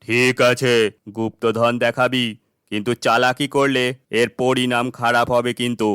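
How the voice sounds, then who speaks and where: A man's voice: medium pitch, little pitch movement, measured pace, a bit bright, clear, resonant tone, no room sound, clean studio sound, close to an everyday voice. A man voicing a menacing, domineering bandit chief in a cartoon fairy tale, with curt, commanding threats. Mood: threatening.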